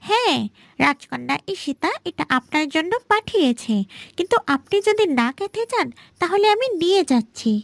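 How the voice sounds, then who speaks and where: Cartoon maidservant played by a woman: tiny, very high voice, lively swoops, courteous and coaxing, music behind. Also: normal speed, vocal fry, no room sound, full character voice. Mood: polite, coaxing. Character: young palace maidservant, obedient and coaxing. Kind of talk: animated fairy-tale cartoon acting.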